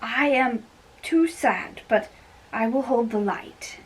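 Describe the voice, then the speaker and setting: Gloomy lovesick youth for stage play, read by a woman: highish, slow, plaintive, distant mic. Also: slightly rough. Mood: mournful. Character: sad lovesick young man, gloomy and reluctant. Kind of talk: amateur dramatic reading of a stage play.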